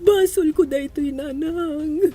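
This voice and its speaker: A woman's voice: sits high, a little slow, mellow. A woman acting a distraught, remorseful mother in a melodramatic radio drama, tearful and pleading, with an Ilocano accent. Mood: anguished, guilty. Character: distraught, remorseful mother searching for her lost child.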